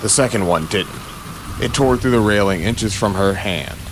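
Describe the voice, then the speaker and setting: A man's voice: fairly low pitch, expressive, moderate pace, background noise. A man narrating an audio drama in a suspenseful, dramatic scripted style, with short punchy phrases.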